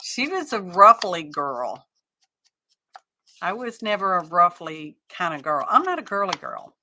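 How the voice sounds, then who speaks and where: Slow-talking, bright-voiced middle-aged woman. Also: on the low side, very animated, a little hiss or hum. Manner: chatty, rambling, talks to chat viewers. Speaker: craft live-stream host. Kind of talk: casual chat while crafting on a live stream.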